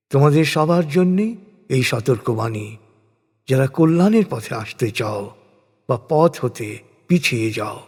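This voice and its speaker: A man reads scripture in translation as a solemn scripted narration, with measured, dramatic phrasing and deliberate pauses. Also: medium pitch, darkish tone, slightly rough. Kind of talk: solemn scripted religious recitation in prose. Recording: long hall-like reverb.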